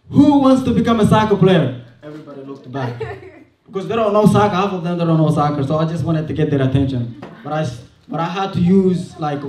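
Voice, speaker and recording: A man's voice: a little high, warm tone. Man talking through slides in an echoey hall. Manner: chatty, laughs often, says "next" to advance slides. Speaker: event speaker presenting slides. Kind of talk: casual public talk at a showcase. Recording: echoey room from far off.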